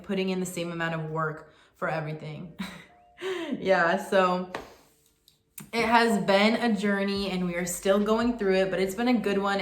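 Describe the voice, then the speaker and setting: A young woman talking casually and earnestly to her viewers, sometimes in quick bursts. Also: fairly low pitch, reverberant. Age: young adult. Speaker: vlogger. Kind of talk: casual chat to camera.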